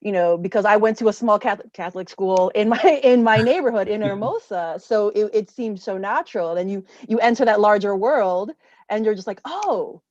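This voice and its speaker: A woman's voice: medium pitch, animated, fairly quick, darkish tone, no room sound, muffled recording. A woman from Chicago, an author interviewing a guest in a casual, hesitant way with many fillers. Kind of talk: conversational interview.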